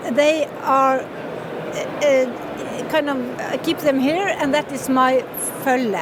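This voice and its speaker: A woman's voice: sits high, fairly even intonation, slow. Hesitant Norwegian woman in her fifties. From northern Norway. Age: 50s. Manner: hesitant, many fillers and restarts, laughs often. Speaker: fiddle player and composer. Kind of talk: casual interview. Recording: loud street noise behind.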